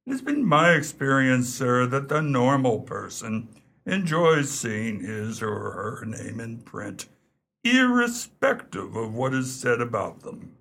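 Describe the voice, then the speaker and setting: A man's voice: medium pitch, sing-song, slow pace, gravelly, fairly bright tone, exaggerated voice. A man acting in a radio play as an unflappable, deferential English valet who speaks formally and with discreet opinions. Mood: formal, unruffled.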